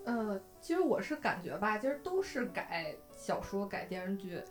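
A woman's voice: mid-range voice, very animated, moderate pace. A woman giving her opinions in a casual discussion. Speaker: discussion show participant. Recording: background music under the voice.

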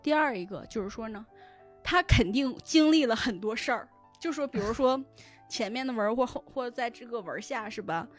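High-pitched woman chatting over music. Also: lively intonation, brisk. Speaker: guest, novel recommender and editor. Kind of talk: casual podcast chat.

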